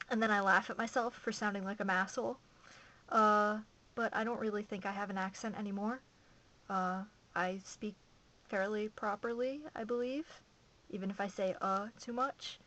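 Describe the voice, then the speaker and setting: A woman's voice: medium pitch, fairly bright tone, poor audio. A young woman from Massachusetts chatting casually on her home video blog, gushing and rambling with enthusiasm, recorded on a camera mic. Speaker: vlogger.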